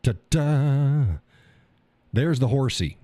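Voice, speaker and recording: A man's voice: medium pitch, lively intonation, very slow, dark tone, no room sound. A man with a stuffy nose, hosting a casual live stream, rambling in a folksy way and reading chat messages aloud. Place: home workshop room.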